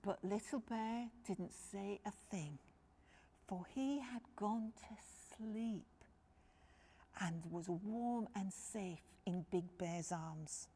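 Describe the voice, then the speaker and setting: A woman's voice: medium pitch, takes it slowly, gravelly, mellow, breathy edge. A woman storyteller reading a picture book expressively to young children, addressing them directly.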